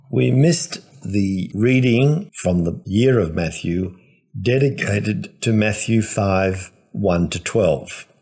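Priest, male, low husky voice, unhurried scholarly homily delivery. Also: darkish tone. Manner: measured, lecturing explanations. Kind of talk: reflective homily.

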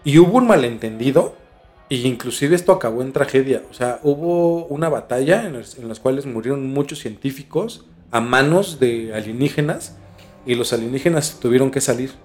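A man with a Mexican accent hosting a casual podcast, telling stories informally and haltingly with repeated words. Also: mid-range voice, expressive, a little mellow. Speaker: podcast host. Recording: music under the voice. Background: background music.

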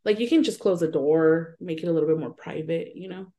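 A young woman co-hosts a casual podcast chat in a slightly low voice. She is lively and laughs easily, and she slips into little acted-out lines when she retells what people said. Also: a little fast. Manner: animated, laughs often, acts out quoted voices. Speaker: podcast co-host.

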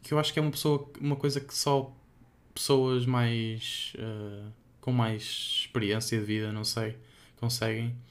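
A man's voice: medium pitch, flat delivery, moderate pace. A young man with a European Portuguese accent chatting casually as a podcast co-host, rambling and hesitant, with many fillers.

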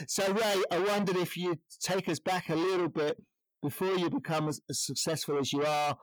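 A man's voice: sits high, measured pace, low-quality recording. A man from England hosting a podcast interview, casual and jokey, teasing his guest. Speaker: podcast host.

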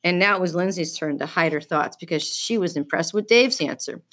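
A woman reading a children's book aloud as an expressive storyteller. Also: fairly low pitch, a little fast.

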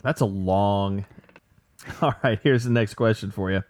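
A man's voice: on the low side, unhurried, a little mellow. A man co-hosting a casual podcast, running a trivia game with dry, self-deprecating jokes. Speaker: podcast co-host, quizmaster. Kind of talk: joking game-show hosting.

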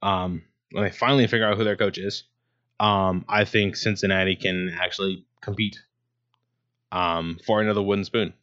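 A man's voice: low voice, fairly even intonation, normal speed, fairly bright tone. A man hosting his own sports talk show, rambling casually with many ums and restarts. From Ohio, USA. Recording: dull lo-fi sound.

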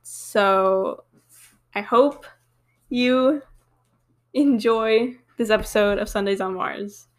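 A woman's voice: medium pitch, steady tone, slow pace, long pauses. A young woman from the United States hosting a casual podcast chat, talking loosely with lots of fillers and "like". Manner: chatty, many fillers and likes. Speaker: podcast host.

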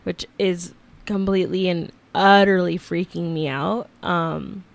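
A woman's voice: on the low side, a little slow, creaky. Chatty twenty-something woman co-host. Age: 20s. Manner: chatty, many fillers, animated storytelling. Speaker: podcast co-host. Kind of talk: casual chat.

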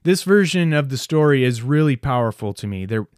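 Man, medium pitch, podcast host, halting, reflective, personal, very dry room. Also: expressive, a little mellow, clear, resonant tone, no echo. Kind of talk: personal, reflective solo talk.

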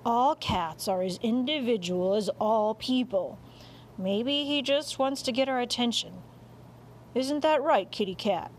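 A woman's voice: fairly high pitch, unhurried, a little hiss or hum, lightly acted, poor audio. A woman voicing a mild, reasonable father who sounds patient and slightly bemused, in a storybook reading. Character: mild, reasonable suburban father explaining things. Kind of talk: audiobook character voice.

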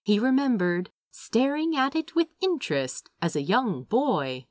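A woman reading a story aloud as expressive scripted narration. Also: mid-range voice, no room sound, clean studio sound. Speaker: audiobook-style reader of fan fiction.

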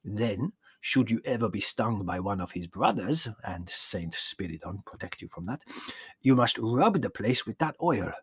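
A man's voice: lowish voice, sing-song, husky, no echo, exaggerated voice. A man doing a toothless old village shepherd. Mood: storytelling. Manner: slow, dramatic, relishing gruesome detail. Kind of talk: audiobook character voice.

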